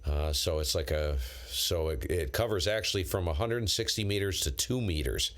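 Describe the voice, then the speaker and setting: A man's voice: sits low, moderate pace, a bit bright. An American man chatting casually on a ham radio live stream, in a rambling, blunt and slangy way. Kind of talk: casual unscripted chat with viewers, on-air call signs.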